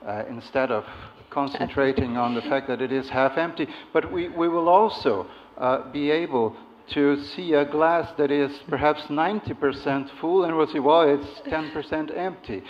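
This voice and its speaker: A man's voice: fairly high pitch, moderate pace, hardly any pauses. A man on a conference panel who speaks hesitantly, with frequent fillers. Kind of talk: panel discussion answer. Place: conference hall. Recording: muffled audio in a reverberant hall.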